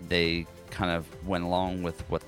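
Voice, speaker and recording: A man's voice: sits low, completely flat delivery, normal speed, a bit bright, soft background music. An American man co-hosting a podcast, talking casually in an informal, musing way. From United States. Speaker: podcast co-host. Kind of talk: casual conversational podcast talk.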